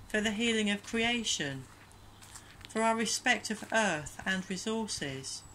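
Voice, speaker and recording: A woman giving a calm, gentle, measured prayer reflection as a voice-over. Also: medium pitch, slight background noise, low-quality recording. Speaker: church minister leading prayer.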